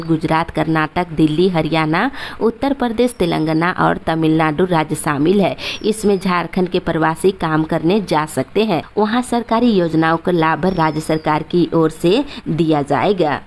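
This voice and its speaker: A woman's voice: on the low side, a little mellow. A woman presenting a scripted news bulletin, reading headline after headline in a formal delivery.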